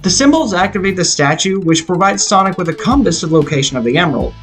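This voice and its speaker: A man's voice: high voice, lively intonation, fast, a bit bright. A man reading a scripted film review in an opinionated, explanatory way. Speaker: video reviewer. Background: background music.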